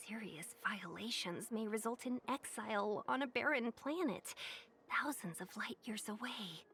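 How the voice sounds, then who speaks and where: A woman's voice: a little high, a little slow, bright, forceful tone. An actress playing a polite, formal young woman who guides travelers. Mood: earnest. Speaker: game character.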